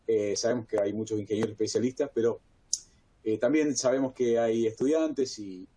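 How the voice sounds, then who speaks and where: An Argentine man moderates a technical webinar, talking on enthusiastically. His voice is mellow and dark, with a middle pitch. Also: moderate pace, husky. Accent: Argentine Spanish. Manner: enthusiastic, rambling.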